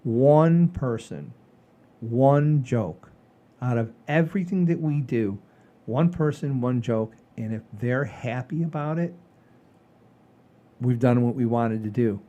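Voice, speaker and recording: A man hosting a comedy show in casual chat, halting and repeating phrases for emphasis. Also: mid-range voice, lively intonation, mellow, muffled recording.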